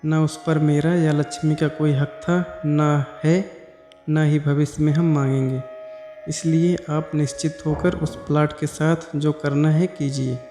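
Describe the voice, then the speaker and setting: Male narrator as a courteous son-in-law in a family tale, polite, mellow, reverberant over music. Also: on the high side, level tone, measured pace, natural voice. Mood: reassuring. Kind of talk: moral story narration.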